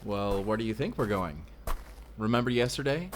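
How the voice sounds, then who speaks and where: A man's voice: lowish voice, lively intonation, normal speed, a little hiss or hum, natural voice. A man playing a young Spanish vampire slayer, a teasing and tender protector speaking intimately in an audio roleplay. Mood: playful, reassuring. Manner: speaks closely to one listener, teasing and soothing.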